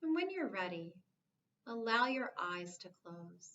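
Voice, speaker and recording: A woman guides a meditation at a very slow, unhurried pace. Her delivery is calm and soothing, with long pauses between phrases. The recording is a little muffled, with a faint layer of background noise. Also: medium pitch.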